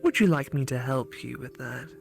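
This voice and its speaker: A woman's voice: extremely low pitch, expressive, moderate pace, creaky, over music, pitched below the performer's own voice, full character voice. A woman voicing a flirty, curious female AI creature in a visual novel, frank and teasing. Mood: curious, seductive. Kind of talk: visual novel character read aloud.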